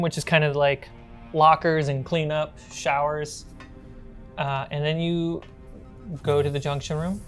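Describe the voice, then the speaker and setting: A woman acting as game master, narrating a tabletop role-playing game in a halting, conversational way. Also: deep voice. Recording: background music under the voice.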